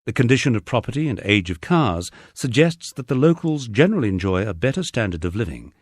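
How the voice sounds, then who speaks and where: A man's voice: medium pitch, sing-song, brisk, no echo, studio quality. A middle-aged man with an English accent reading a first-person memoir as a scripted narration. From England.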